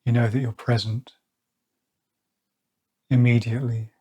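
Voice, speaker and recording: A man leading a guided meditation, speaking slowly and softly with long pauses. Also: medium pitch, level tone, breathy edge. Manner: slow, soft-spoken, with long pauses. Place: outdoors or by an open window with birds nearby.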